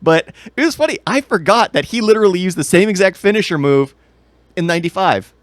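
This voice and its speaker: A man's voice: high voice, expressive, fast, no room sound. A man co-hosting a podcast, chatting casually about films and acting out his own reactions as he retells them. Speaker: podcast co-host reviewing movies. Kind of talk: casual film chat.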